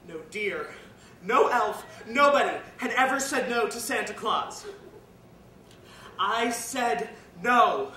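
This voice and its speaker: A woman playing a bitter, guilt-ridden, washed-up reindeer parent in a confessional dramatic stage monologue that swings between hushed and loud. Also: medium pitch, close to an everyday voice. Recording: echoey, distant, noisy live room recording.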